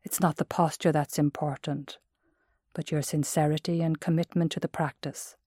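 An Irish woman narrates a guided mindfulness meditation in a low-pitched voice. Her delivery is gentle and measured, like careful instruction. The sound is dry, with no room around it. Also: level tone, no echo.

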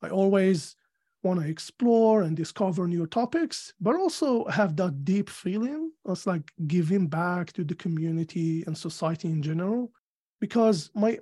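A man from Algeria with an Algerian accent, answering interview questions in a measured, thoughtful way with frequent fillers. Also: high-pitched, dark tone. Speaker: guest. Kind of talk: casual interview.

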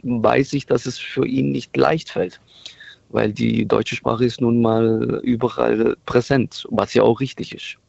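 A man's voice: medium pitch, flat delivery, measured pace, gravelly, a little mellow, no room sound. A middle-aged man, a native Italian speaker raised in Germany, calls in to a radio show and talks hesitantly with many fillers and restarts. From Italy, moved to Germany at six. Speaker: radio caller. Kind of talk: casual phone-in chat. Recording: muffled phone-in call on speakerphone.

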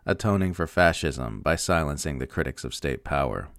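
A man with a very low, gravelly voice reads political commentary aloud. His delivery is emphatic and sardonic, moving widely in pitch, and the recording is close and dry. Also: animated, moderate pace, fairly bright tone, no room sound. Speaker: narrator reading political commentary. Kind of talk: scripted opinion essay read aloud.

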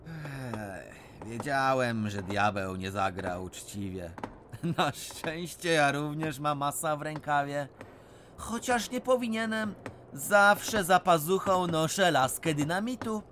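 An actor playing a clever, cheeky miner, crafty and teasing. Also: male voice, on the high side, expressive, slow pace, a bit bright, slight background noise. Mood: scheming. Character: clever cheeky Silesian miner Bulandra, adult man. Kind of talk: radio drama acting.

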